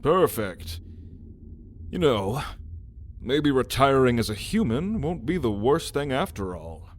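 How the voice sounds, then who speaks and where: A man's voice: mid-range voice, very animated, a little hiss or hum. A man playing a big old male dragon in human form, wry and playful, in an ASMR roleplay story. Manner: wry, theatrical, unhurried.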